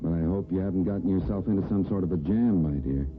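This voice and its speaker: An actor playing a genial, teasing, courtly lawyer and old friend in an old-time radio drama. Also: male voice, low-pitched, fast talker, soft background music, close to an everyday voice. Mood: businesslike, kindly. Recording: narrowband old radio recording, faint noise.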